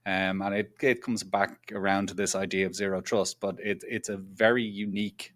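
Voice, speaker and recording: A man answers interview questions in a low, fairly monotone voice. He is chatty and self-deprecating and often circles back to repeat his points. The sound is dry and close, with no room echo. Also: normal speed. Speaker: guest, product executive.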